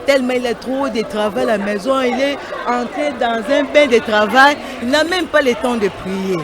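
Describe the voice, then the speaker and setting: A man's voice: very high-pitched, moderate pace. A man speaking animatedly in the style of religious testimony. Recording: noisy outdoor crowd ambience.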